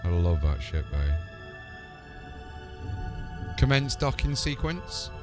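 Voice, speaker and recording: A man's voice: sits low, very animated, slow pace. A man giving live gameplay commentary, talking to himself as he reacts to what happens in play. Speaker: video game streamer. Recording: music behind the voice. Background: game music.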